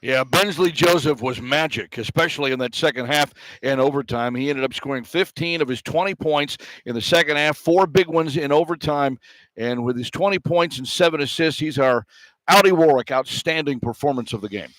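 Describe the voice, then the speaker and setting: A man's voice: fairly high pitch, moderate pace, a bit bright, no room sound, low-quality recording. An older man working as a radio play-by-play broadcaster, giving postgame sports commentary and chat on a headset mic. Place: courtside at a basketball arena.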